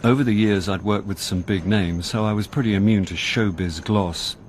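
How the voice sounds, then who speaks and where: A man's voice: lowish voice, normal speed, slight background noise, close to an everyday voice. An actor playing a flawed, sardonic middle-aged man with an English accent, self-deprecating and prone to stammering, in a radio drama. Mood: wry narration. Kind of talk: radio drama acting with first-person narration.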